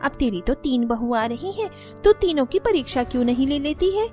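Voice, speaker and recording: A woman's voice: high-pitched, animated, moderate pace, mellow, soft background music. A woman voicing gossipy middle-aged neighbor women who chatter nosily. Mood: nosy. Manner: nosy, chatty.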